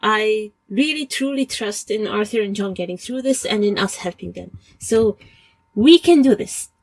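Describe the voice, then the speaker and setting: A woman leaving a casual, expressive voice message as a listener sharing her reactions. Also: medium pitch, moderate pace.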